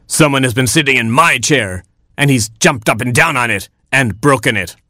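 A man's voice: mid-range voice, moderate pace, bright, forceful tone, no echo, studio quality, voice pushed up, natural voice. A man voicing a proud, grouchy father rooster in a storybook, with pompous delivery. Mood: indignant. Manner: pompous, declamatory. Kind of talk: storybook character voice.

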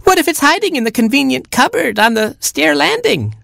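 A man voicing a tiny, excitable baby chick in a storybook, screechy and whiny. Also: very high-pitched, a little fast, creaky, no echo, studio quality, voice pushed up, exaggerated voice. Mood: excited. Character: tiny excitable baby chick, young boy, shrill and timid. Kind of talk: storybook character voice.

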